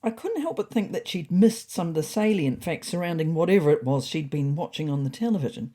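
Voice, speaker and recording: Husky, expressive woman storyteller. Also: low-pitched, brisk. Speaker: storyteller and podcast narrator. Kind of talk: scripted story narration, first person.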